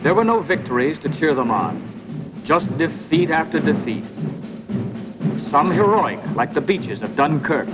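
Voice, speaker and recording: An American man narrating a wartime documentary from a script in a dramatic, emphatic and solemn 1940s style. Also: on the high side. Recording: muffled 1940s film soundtrack, music behind.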